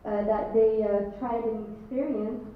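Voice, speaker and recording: Speaker from Algeria, woman, 30s, halting, fillers, laughs; recorded far off in an echoey hall, muffled. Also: a little high, steady tone. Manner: hesitant, many fillers, laughs often. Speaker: lighting designer giving a short talk. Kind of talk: timed slide presentation. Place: large echoey event space. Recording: distant, echoey, muffled event audio.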